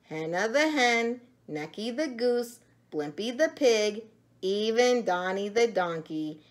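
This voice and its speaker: A middle-aged woman chatting to young children in an animated, simple way in a room at home. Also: on the low side. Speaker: storyteller showing children books and eggs. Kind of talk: casual chat to children.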